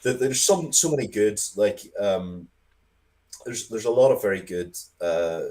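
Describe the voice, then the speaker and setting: A man's voice: lowish voice, unhurried. A middle-aged man talking casually as an interview guest, rambling and swearing freely as he tells stories with enthusiasm. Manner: sweary, rambling, animated storytelling. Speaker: interview guest, game and comics creator.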